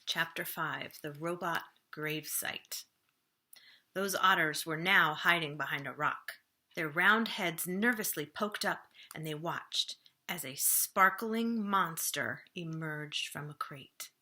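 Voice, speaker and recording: A woman reading a children's storybook aloud expressively, with deliberate dramatic emphasis. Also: sits low, pushed and bright.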